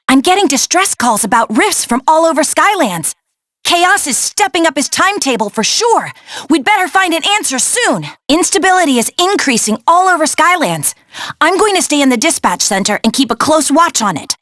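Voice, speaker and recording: A woman voicing a spirited young female adventurer in a video game, bossy but caring, brisk, earnest and encouraging. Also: sits high, fairly bright tone, dry recording, studio quality. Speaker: video game character, dispatcher and guide. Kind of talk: animated video game voice acting.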